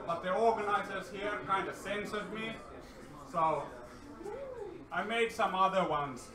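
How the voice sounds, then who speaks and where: Man, Finnish accent, high voice, hesitant, self-deprecating, informal stage talk, roomy echo, audible crowd. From Finland. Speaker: guest speaker, indie game developer. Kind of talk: informal personal-story talk. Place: meetup venue with live audience. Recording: echoey room, distant sound, crowd noise.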